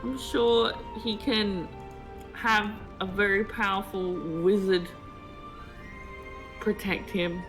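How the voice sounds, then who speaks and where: A woman's voice: mid-range voice, fairly even intonation, takes it slowly, pauses often. A woman chatting casually and jokingly as a co-op gaming partner on a stream. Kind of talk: casual joking chat about a game. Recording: music clearly behind the voice. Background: music.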